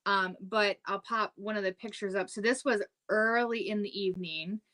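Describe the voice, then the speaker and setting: Chatty bright-voiced woman in her thirties. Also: mid-range voice, fairly even intonation, moderate pace. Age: 30s. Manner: chatty, storytelling with lots of "like" and "um". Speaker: podcast co-host. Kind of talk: casual chat.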